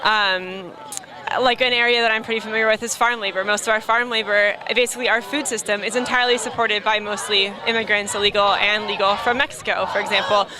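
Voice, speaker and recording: A young woman protester outdoors arguing in a clear, reasoned way. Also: mid-range voice, level tone, fast, crowd noise. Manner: articulate, reasoned, says "like".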